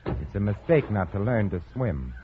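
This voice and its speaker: Suave hunting host, lowish and lively, urbane with veiled threat; thin old-radio sound. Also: male voice, moderate pace. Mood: silky menace. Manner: urbane, drawling, mockingly solicitous. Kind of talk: 1940s radio suspense acting. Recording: old radio, narrow band, faint noise.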